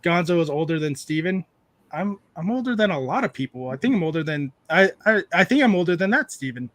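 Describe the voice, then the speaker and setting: A man's voice: a little high, lively intonation, a little fast, fairly bright tone, dry recording. A man in his thirties hosting a casual livestream, rambling and joking while he reads out chat messages. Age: 30s. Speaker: livestream host.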